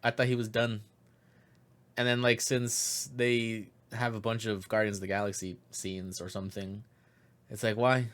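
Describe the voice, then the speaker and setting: A man's voice: on the low side, level tone, normal speed. Blunt man in casual podcast chat. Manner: terse, blunt, dismissive. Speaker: podcast co-host.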